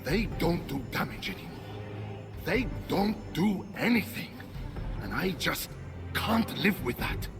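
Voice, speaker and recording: An actor playing a blustering, theatrical war god trapped in a chicken's body, in an animated video game. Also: male voice, fairly high pitch, a little slow, over music. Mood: earnest, dramatic. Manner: theatrical, pompous, self-dramatizing. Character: war god stuck as a chicken, blustering, dramatic, easily flustered.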